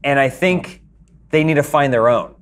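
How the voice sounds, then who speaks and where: A young man talking casually on a podcast, animated and acting out lines from a game. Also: medium pitch, normal speed. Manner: animated, acts out characters' lines in a raised voice. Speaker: podcast co-host. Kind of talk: casual chat.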